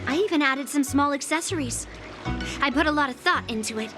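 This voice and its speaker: A woman's voice: high voice, fairly quick, over music, natural voice. A woman playing a competitive young girl sword master in a video game, with a haughty, smug, blunt manner. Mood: proud.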